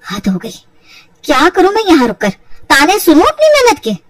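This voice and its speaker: A woman acts a young daughter-in-law in a cartoon family story. She has a high voice and a polite, sly, insinuating manner. The sound is dry and slightly off the microphone. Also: wildly swooping pitch, normal speed, no echo, distant mic. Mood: exasperated. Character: shrewd young daughter-in-law, sweetly sly, weary of taunts. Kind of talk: acted Hindi moral story for cartoon.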